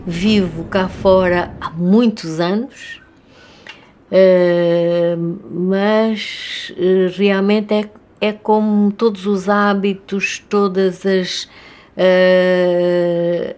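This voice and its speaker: A woman's voice: lowish voice, darkish tone. An older woman from Angola with an Angolan Portuguese accent, speaking reflectively and hesitantly in a documentary interview. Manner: slow, hesitant, thoughtful with many pauses.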